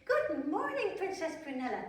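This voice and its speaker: A woman voicing a dramatic little girl princess in shrill exclamations, for a children's story. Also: huge pitch swings, moderate pace, full character voice. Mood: cheerful. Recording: very echoey room, distant microphone.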